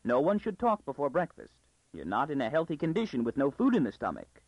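A man voicing a sharp, motherly older wife in an audiobook, blunt and scolding with folksy phrasing. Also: fairly high pitch, huge pitch swings, brisk, dry recording, muffled recording. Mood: soothing. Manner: nagging, blunt, folksy inverted syntax.

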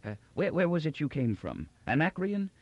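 A man voicing a gentle, confused elderly emperor from a novel, with a quavering, halting voice and a hesitant, forgetful manner. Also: on the high side, huge pitch swings, no room sound, highs cut off, pitched above the performer's own voice, full character voice. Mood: agitated, then vague. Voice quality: quavering, halting old man's voice.

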